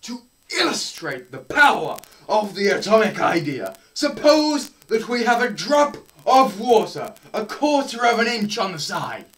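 A young man giving a dramatised science lecture, theatrical, swinging between shouts and hushed murmurs, with pauses and repetition for effect. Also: high-pitched, big pitch swings. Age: young adult. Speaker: presenter. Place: small bare indoor room.

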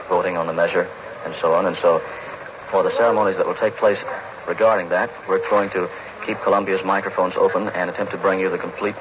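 A man with an American accent announcing live on 1940s radio news. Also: deep voice. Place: live at the House chamber. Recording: noisy muffled 1940s radio recording.

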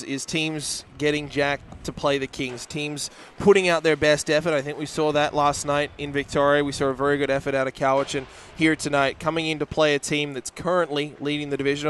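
A man's voice: a little high, fairly bright tone, a little hiss or hum. A man in his thirties, a team broadcaster interviewing a coach after a game at an indoor rink on a headset mic. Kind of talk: postgame interview.

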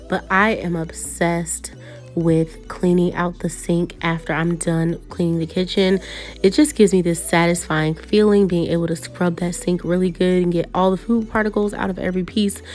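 A woman's voice: lowish voice, fairly even intonation, moderate pace, darkish tone, soft background music. A young woman chatting casually as she narrates cleaning her kitchen, with light laughs. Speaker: vlogger.

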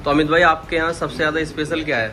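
A man in his thirties from India narrates a casual travel vlog straight to his viewers. His voice sits a little high and stays even, with little rise and fall. He films on a phone held at arm's length while driving and walking through shops. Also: very fast, slight background noise. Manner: chatty, addresses viewers directly. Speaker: vlogger. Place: car, cafe and mall, indoors and out.

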